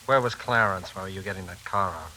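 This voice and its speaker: An actor playing a protective, suspicious husband who also narrates, blunt and earnest, in a 1940s radio suspense drama. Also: male voice, sits low, fast talker, bright, forceful tone, a little hiss or hum, low-quality recording. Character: protective, hot-tempered husband and father, suspicious, exasperated. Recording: old 1940s radio broadcast recording.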